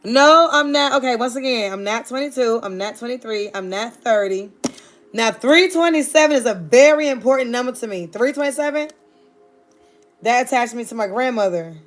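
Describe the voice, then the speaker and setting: A woman's voice: fairly high pitch, lively intonation, normal speed, soft background music. A woman in her thirties from Virginia, chatting sassily and playfully with viewers on a live stream. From Virginia, United States. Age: 30s. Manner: sassy, playful, talks straight to her chat. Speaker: livestreamer.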